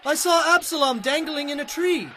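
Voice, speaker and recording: A man voicing a soldier who is wary and defensive, in a high put-on voice. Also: moderate pace, bright, forceful tone, slight background noise.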